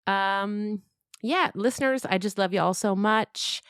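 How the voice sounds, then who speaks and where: A woman's voice: medium pitch, a little slow, fairly bright tone, smooth, dry recording, studio quality. A woman podcast creator chatting casually and informally, as if to friends. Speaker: podcast creator and host, being interviewed. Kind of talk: casual chat.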